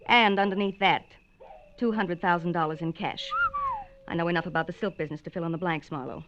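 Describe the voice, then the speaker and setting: A woman's voice: lowish voice, a little fast, background noise, close to an everyday voice. A woman acting a cool, hard-edged wife from a classic radio mystery. Her delivery is dramatic yet controlled, bitter and tough-minded. The old recording is slightly muffled. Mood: cold, frank.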